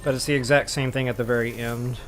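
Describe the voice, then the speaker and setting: A man's voice: medium pitch, little pitch movement, fast talker, fairly bright tone, a little hiss or hum. A man giving casual gameplay walkthrough commentary, talking straight to his viewers as a channel host. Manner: casual, talks directly to viewers. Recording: game music behind the voice.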